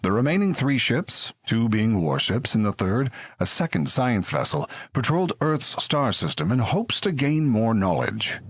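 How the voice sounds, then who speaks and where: A man's voice: medium pitch, very animated, moderate pace, few pauses, breathy edge, no room sound. A man playing a grand narrator and announcer in a comedy space audio drama, with a theatrical, scripted delivery. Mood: grave, epic. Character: grand sci-fi narrator and slick future advertising announcer.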